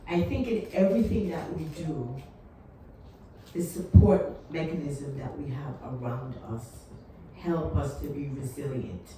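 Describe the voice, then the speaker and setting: A woman in her fifties, a panel guest who tells stories in a relaxed way and repeats her points. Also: low voice, a little slow, a little hiss or hum. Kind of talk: panel discussion. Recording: echoey room, mic from a distance.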